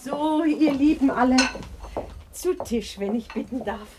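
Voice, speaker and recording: An actress playing an anxious, loving mother of a poor family, bustling and expressive in a radio play. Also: sits high, measured pace, noisy background. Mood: happy, relieved. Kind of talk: 1960s German radio play acting.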